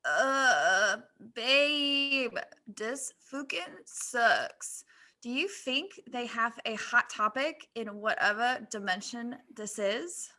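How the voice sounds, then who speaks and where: A woman's voice: on the high side, animated, slow, sharp tone, exaggerated voice. A woman voicing a sullen young goth girl with a whiny, slangy manner, in a fan fiction reading. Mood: whiny, fed up.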